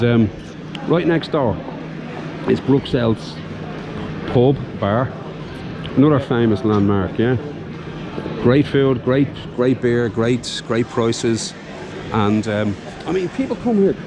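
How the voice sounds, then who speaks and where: A man's voice: mid-range voice, background noise. A man with a Dublin Irish accent giving a casual, enthusiastic walking tour of his city for a vlog, often tagging phrases with "yeah". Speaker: vlogger, tour guide. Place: busy outdoor city shopping street. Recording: outdoor street with crowd chatter.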